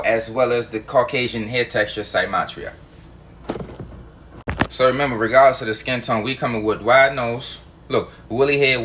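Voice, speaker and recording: A man's voice: mid-range voice, bright, forceful tone, a little hiss or hum. A man delivering a heated monologue to his viewers, emphatic and profane, repeating his points. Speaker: video host.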